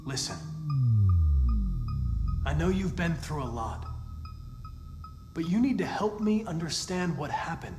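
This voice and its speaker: An actor playing a composed, analytical android investigator in a video game, speaking in a precise, formal, persistent way. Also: male voice, a little high, sing-song, a little slow, long pauses. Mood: reassuring, urgent. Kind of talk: video game cinematic acting. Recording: echoey room, music underneath.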